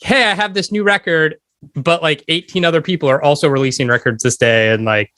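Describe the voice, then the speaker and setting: A man's voice: a little high, fairly quick, bright, forceful tone, no echo, studio quality. A middle-aged man hosting a podcast, asking informal, rambling questions in a casual chat. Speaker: podcast host interviewing a musician.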